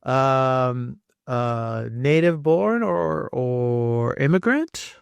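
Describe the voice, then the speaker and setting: A man's voice: mid-range voice, lively intonation, drawn-out words, vocal fry, smooth, dry recording. A man in his sixties hosting a casual podcast interview, friendly and rambling, with plenty of fillers and restarts. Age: 60s. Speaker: podcast host.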